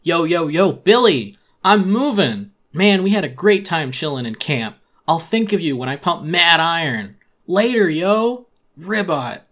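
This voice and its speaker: Brash gym-bro game character, voiced by a man: hearty, high, loud and slangy. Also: sing-song, unhurried, exaggerated voice. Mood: boisterous, chummy. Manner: slangy, hearty jock delivery. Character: brash gym-bro villager, male, chummy and boisterous. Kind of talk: dramatic storybook reading of a game character.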